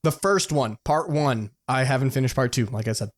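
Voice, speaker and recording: A young man co-hosting a podcast in casual, excitable, sweary fan talk. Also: mid-range voice, a little fast. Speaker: podcast co-host. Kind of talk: casual chat.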